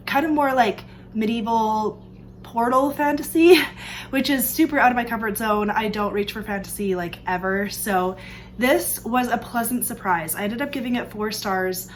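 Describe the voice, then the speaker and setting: A woman's voice: medium pitch, a little hiss or hum. A young woman with a slightly stuffed-up voice from allergies, chatting casually about books she read, full of "like" and "um". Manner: chatty, upbeat, frequent "like" and "um". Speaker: book vlogger. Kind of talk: casual book review chat. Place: room with open sliding door. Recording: faint outdoor ambience, birds, planes.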